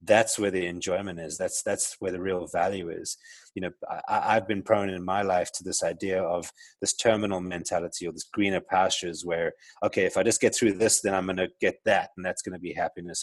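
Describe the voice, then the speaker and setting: Low-pitched South African man, middle-aged, reflective podcast guest, dry close sound. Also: steady tone, a little fast, no echo. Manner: reflective, many restarts and fillers. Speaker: guest, entrepreneur. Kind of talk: casual interview conversation.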